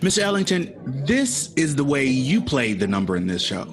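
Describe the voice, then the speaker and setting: An actor playing an eager young aspiring jazz composer, earnest and emotionally open, in an audio drama. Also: male voice, mid-range voice, very animated, normal speed, close to an everyday voice. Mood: awed, hopeful.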